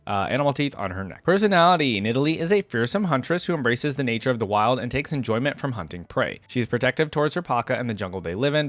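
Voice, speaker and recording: A man's voice: medium pitch, dry recording. A man hosting a reaction video, reading character lore aloud with casual asides and emphatic starts that trail off at phrase ends.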